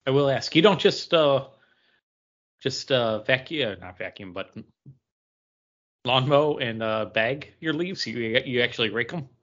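Bright, animated man bantering on a podcast. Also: mid-range voice, a little slow, long pauses, muffled recording. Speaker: podcast co-host. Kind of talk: casual chat, banter.

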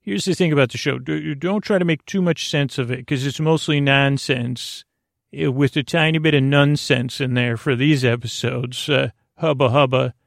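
A man with a creaky voice hosting a sleep podcast, rambling in a lulling, silly, meandering way with halting self-corrections. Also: medium pitch, steady tone, no echo, clean studio sound. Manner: lulling, soothing. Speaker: podcast host.

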